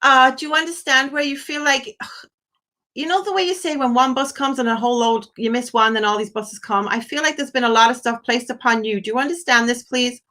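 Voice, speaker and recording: Bright, high-voiced woman medium. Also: fairly quick. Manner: effusive, uses endearments and blessings; relays messages in quoted asides. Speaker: psychic medium, phone-in host. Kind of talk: live spirit medium reading for a caller.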